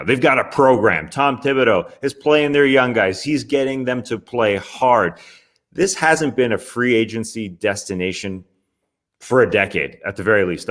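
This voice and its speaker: A man's voice: on the low side, a bit bright. A young man hosting a basketball recap show, talking in a casual, chatty way with wry asides. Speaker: sports podcast host. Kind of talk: NBA recap commentary.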